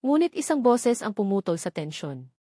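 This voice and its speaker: A woman's voice: medium pitch, sing-song, moderate pace, dark tone, no room sound, studio quality. A woman reading a scripted dramatic love story as its narrator.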